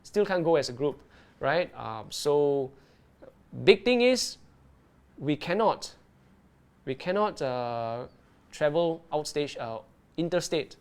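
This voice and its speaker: A man presenting live to online viewers, his voice sitting a little higher than most men's. He explains things in a lively, chatty way and keeps checking in with his viewers as he goes. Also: slow pace, pauses often, clean tone. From Malaysia. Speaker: underwater video presenter. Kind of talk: live-streamed tutorial and Q&A.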